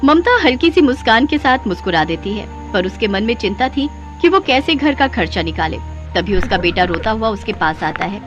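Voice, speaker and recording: A woman's voice: medium pitch, very animated, normal speed, lo-fi sound, soft background music. A woman delivering scripted moral-story narration as the narrator.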